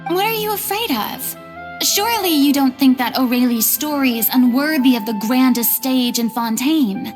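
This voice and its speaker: Haughty playful young stage star, high and swoopy, game dialogue with big reverb. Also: female voice, animated, moderate pace, music in the background. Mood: haughty, goading. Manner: grandiose, teasing, dramatic. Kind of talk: video game voice acting.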